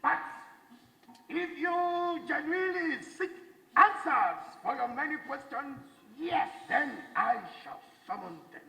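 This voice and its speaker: A man's voice: extremely high pitch, creaky, sharp tone, exaggerated voice. A man playing a solemn, commanding heavenly spirit in a stage drama, speaking in a grand, formal, declamatory way. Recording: echoey hall, noisy stage recording.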